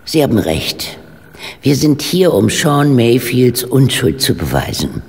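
An actress playing a resolute, proper elderly lady detective in a radio play, with a low, gravelly voice and a stern, composed, formal manner. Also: very animated, unhurried, darkish tone, full character voice. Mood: probing, firm. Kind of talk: German radio play acting. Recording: reverberant hall, faint background noise.

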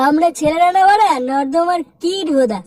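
An actor performs a drunken cartoon loudmouth with a very high-pitched voice. The delivery is crude, declamatory and bitter, full of swearing, and sounds somewhat distant from the microphone. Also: fairly quick, no room sound. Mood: drunken, aggrieved. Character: drunken, foul-mouthed older-brother figure, bitterly ranting about life. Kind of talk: Bengali comedy cartoon voice acting.

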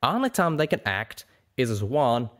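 A man's voice: medium pitch, sing-song, moderate pace, a bit bright, voice pushed up. A man voicing a blunt, bitter male pony in a story reading, with a folksy, sardonic manner.

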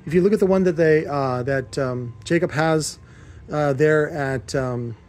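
A man's voice: a little high, a little slow, darkish tone. An American man giving a casual, off-the-cuff workshop walkthrough, rambling and informal as he explains his equipment. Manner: rambling, informal, occasionally profane. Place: cluttered industrial workshop indoors. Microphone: handheld camera held by the speaker.

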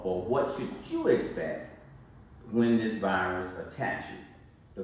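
A middle-aged man, a doctor, lecturing to a phone camera in an urgent care clinic, speaking slowly and repeating key phrases for emphasis. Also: mid-range voice, darkish tone. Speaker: doctor giving a health lecture. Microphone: phone camera at a short distance. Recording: echoey clinic room.

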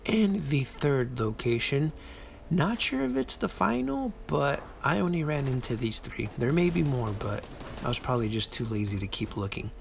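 A man's voice: mid-range voice, very animated, moderate pace, vocal fry, slight background noise. A man giving a casual game walkthrough, chatty, playful and self-deprecating, as the host of a guide.

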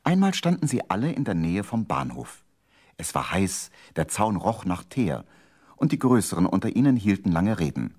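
Deep-voiced, animated male storyteller. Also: slightly rough. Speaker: narrator. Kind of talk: scripted children's radio-play storytelling.

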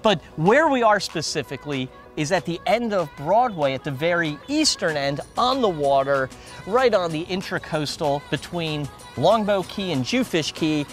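Middle-aged man, lively local publisher, slightly high voice, interviewed outdoors on a lapel mic. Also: moderate pace, soft background music. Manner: animated, talks with hands. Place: outdoors at a waterfront construction site.

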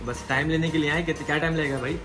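A man's voice: fairly high pitch, fairly even intonation, fast, over music. A man with a Pakistani accent casually bantering and asking teasing questions in an indoor shop. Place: busy barber salon, indoors.

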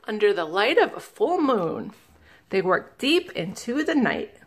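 A woman, a children's librarian, reading a picture book aloud slowly and gently, stopping to ask the listeners questions. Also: medium pitch, sing-song. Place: indoors at a table, camera above the book.

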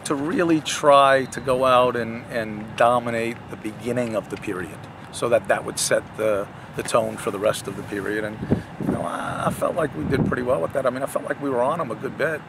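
Older male coach, post-game sideline interview, halting delivery, clear outdoor noise. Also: mid-range voice. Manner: halting, many restarts and fillers. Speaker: head soccer coach. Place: outdoor soccer field at night.

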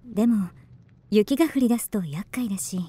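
Actress as a mellow, guarded, elegant mourning lady. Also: mid-range voice, moderate pace, husky, no room sound, lightly acted. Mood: gracious, wistful. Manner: refined, reserved. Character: veiled woman in mourning, wistful, guarded, elegant traveller. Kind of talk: radio drama acting.

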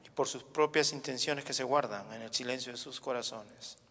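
Bright-voiced man reading prayers in church. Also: medium pitch, moderate pace, breathy edge. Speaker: reader of prayer petitions at Mass. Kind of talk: formal read-aloud prayer. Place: large church, indoors. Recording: reverberant church hall.

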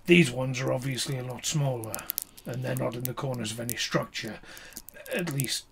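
Man playing a gravelly, evasive academic. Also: medium pitch, moderate pace, a bit bright, a little hiss or hum. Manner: polite, hesitant, faintly evasive. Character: genial middle-aged male archaeologist, evasive.

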